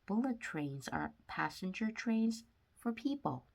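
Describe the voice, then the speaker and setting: A woman reading a children's nonfiction book aloud with simple, deliberate phrasing, sometimes stumbling over words. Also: on the high side, big pitch swings, creaky, background noise. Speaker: read-aloud narrator of children's books.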